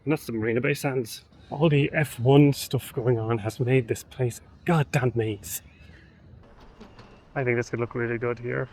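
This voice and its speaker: A man's voice: medium pitch, steady tone, normal speed, a little mellow. An Irish man from Ireland narrating his travel vlog in a casual, wry, self-deprecating way, with the occasional swear. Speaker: vlogger and film photographer.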